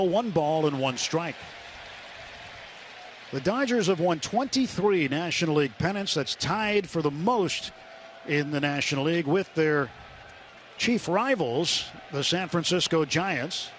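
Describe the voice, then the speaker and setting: A man doing live radio play-by-play of a baseball game, measured and descriptive, filling gaps between pitches with statistics. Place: stadium broadcast booth. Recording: noisy ballpark radio broadcast. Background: loud ballpark ambience.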